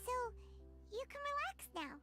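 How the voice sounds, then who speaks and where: Casting: tiny plucky game sidekick girl, extremely high childlike squeak, swooping expressive delivery, loud music underneath. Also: unhurried, exaggerated voice. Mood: proud, reassuring. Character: small, spirited, childlike floating girl fairy companion.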